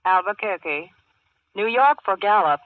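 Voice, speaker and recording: A woman playing a brisk, formal long-distance telephone operator in a 1940s radio drama, with measured, singsong speech. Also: medium pitch, animated, dry recording, narrow, tinny audio, close to an everyday voice. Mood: polite, businesslike.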